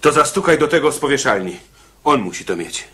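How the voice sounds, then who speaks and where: An actor playing a condemned prisoner in a radio drama, deliberate and easily exasperated, with a husky, halting delivery. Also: male voice, mid-range voice, big pitch swings, bright, forceful tone, slight background noise. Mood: doubtful. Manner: hesitant, halting, spelling things out.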